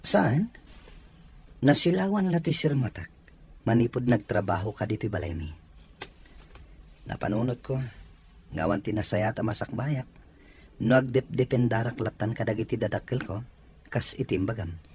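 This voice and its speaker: A man's voice: mid-range voice, lively intonation, normal speed, long pauses, a little mellow, slight background noise, natural voice. A man playing a casual, evasive young employer who is kind to his maid, in an audio drama. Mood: friendly.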